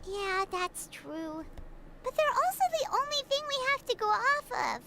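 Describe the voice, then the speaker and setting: A woman voicing a small, childlike, excitable flying companion in a video game. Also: very high-pitched, unhurried, very noisy, full character voice. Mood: earnest, encouraging. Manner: excitable, refers to self in third person.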